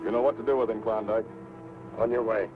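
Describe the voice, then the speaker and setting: An actor playing a menacing, bossy outlaw who barks orders in a 1950s TV Western. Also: male voice, fairly low pitch, steady tone, fast, natural voice. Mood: threatening, gloating. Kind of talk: 1950s television Western villain acting. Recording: muffled 1950s TV soundtrack with music.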